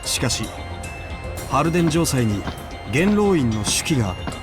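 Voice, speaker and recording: An actor playing a brisk, officious and irritable male aide in a video game, giving clipped, deadpan orders and dry grumbles. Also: medium pitch, music in the background, close to an everyday voice. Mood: pondering. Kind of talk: Japanese video game voice acting.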